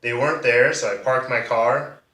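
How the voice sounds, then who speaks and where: A man playing a soft-spoken, bitter defendant giving measured, restrained testimony in a courtroom monologue. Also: medium pitch, fairly even intonation, natural voice. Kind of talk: monologue performance of a courtroom drama. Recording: roomy echo, distant microphone.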